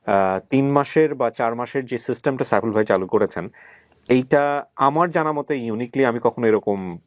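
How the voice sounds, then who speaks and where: A man's voice: mid-range voice, dry recording. Emphatic Bangladeshi man hosting, dry close sound. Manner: emphatic, mixes English words into speech. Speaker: interview host. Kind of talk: conversational interviewing and addressing viewers.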